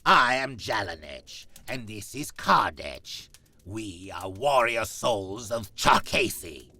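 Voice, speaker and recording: A man playing a proud, zealous reptilian warrior with a gravelly, solemn and haughty delivery in an audio drama. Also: fairly low pitch, animated, takes it slowly, bright, forceful tone, no room sound, heavily acted.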